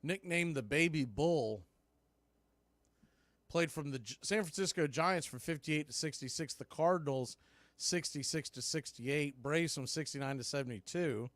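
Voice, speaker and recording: A man's voice: on the high side, moderate pace, fairly bright tone, no room sound. Man calling baseball play-by-play. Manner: conversational, rising on big plays. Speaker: play-by-play announcer. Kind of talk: radio play-by-play commentary.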